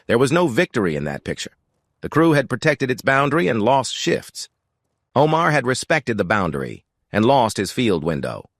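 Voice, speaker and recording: A man narrating a first-person story in a measured, sober way. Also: medium pitch, fairly bright tone, no room sound, clean studio sound.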